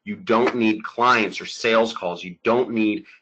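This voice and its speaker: Guy doing a salesy webinar pitch, bright forceful voice, kinda low, dull lo-fi audio. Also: measured pace, husky. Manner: energetic, emphatic, salesy. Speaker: webinar presenter.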